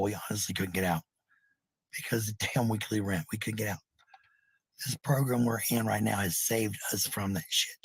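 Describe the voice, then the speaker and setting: Husky low-voiced man rambling casually. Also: dry recording, rough recording. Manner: blunt, sweary, defensive. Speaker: parent talking about family life.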